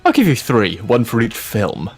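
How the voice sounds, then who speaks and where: A man's voice: mid-range voice, very animated, normal speed, music in the background. A man hosting casual comedy banter, reacting freely with swearing and mock announcements. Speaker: host of a comedy fan fiction video.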